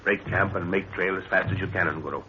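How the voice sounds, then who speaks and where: A brisk, fatherly professor in charge of a jungle expedition. His voice is low, in vintage radio serial style, on a muffled recording with light noise. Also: steady tone, bright, forceful tone. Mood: firm, wary. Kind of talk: 1930s radio drama acting.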